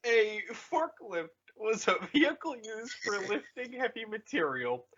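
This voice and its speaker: A sweary podcast co-host in casual chat, reading snippets aloud. The middling-pitched voice is animated, and the audio is a little lo-fi. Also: a little slow, noisy background.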